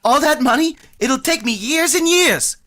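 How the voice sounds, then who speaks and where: A woman's voice: high voice, lively intonation, a bit bright. A woman playing a nervous teenage boy hurrying his date along in an amateur vintage radio play. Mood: urgent, flustered. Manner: hurried, pushy and jittery.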